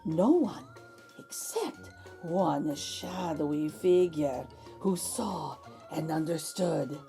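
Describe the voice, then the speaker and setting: A woman's voice: low-pitched, animated, slow, vocal fry, dark tone, soft background music. A woman narrating a children's picture book aloud as host, with theatrical, suspenseful emphasis. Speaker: storybook reader, host.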